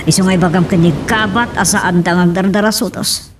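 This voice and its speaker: A woman's voice: fairly low pitch, fairly even intonation, brisk, far from the mic, background noise, natural voice. A woman with an Ilocano accent playing a haughty middle-aged hacienda lady and mother in a radio drama. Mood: probing.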